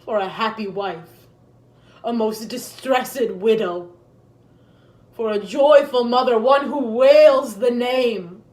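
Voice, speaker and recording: Woman acting a grieving, vengeful queen, slowly declaiming. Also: on the high side, a little mellow. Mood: scornful. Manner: solemn, declamatory Shakespearean delivery. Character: grieving, vengeful deposed queen, bitter and cursing. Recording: echoey room.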